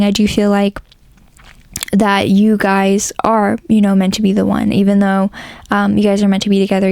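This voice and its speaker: A woman narrates a tarot reading in a loose, rambling way, full of ums and likes. Her voice is hoarse and gravelly, with a mellow tone. The recording is close and dry. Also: medium pitch, dry recording. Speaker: tarot reader.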